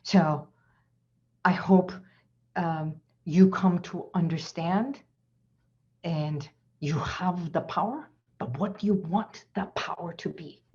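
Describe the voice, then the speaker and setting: A woman's voice: on the low side, takes it slowly, long pauses, darkish tone, muffled recording. A woman hypnotherapist giving an earnest, reflective inspirational talk as a guest in an online session, repeating her key phrases.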